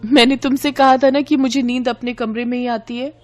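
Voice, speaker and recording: A spoiled young bride in a family audio story, acted by a woman, speaks in a raised, girlish pitch. She is pert, blunt and self-centred, with light music behind her. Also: fairly even intonation, brisk, darkish tone, close to an everyday voice. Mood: insistent.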